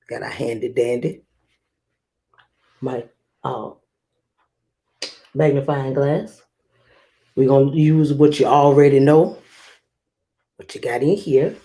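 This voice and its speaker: A woman guiding young listeners through a read-aloud lesson, instructive and speaking straight to them with emphatic pauses. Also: deep voice, warm tone. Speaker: guest reader and read-aloud host for children.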